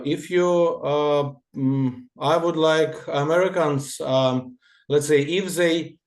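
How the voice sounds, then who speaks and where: Male guest, halting delivery, roomy echo. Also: a little high. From Russia-born, Ukrainian. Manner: halting, searching for words. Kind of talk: casual Q&A answers.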